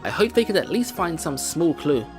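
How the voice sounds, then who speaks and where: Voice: medium pitch, sing-song, normal speed. A let's play host reading a visual novel's first-person narration aloud, with game music behind.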